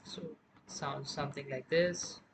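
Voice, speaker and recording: A man presenting an informal music production software tutorial, explaining step by step in a halting way. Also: a little high, steady tone, vocal fry, background noise.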